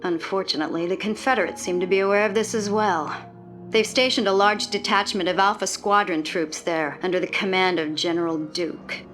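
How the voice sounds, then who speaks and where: A woman's voice: medium pitch, fairly quick, a bit bright, faint music behind, lightly acted. A woman playing a no-nonsense female lieutenant. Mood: businesslike. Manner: direct, crisp, businesslike. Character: cool, no-nonsense female lieutenant, young adult. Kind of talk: video game cutscene dialogue.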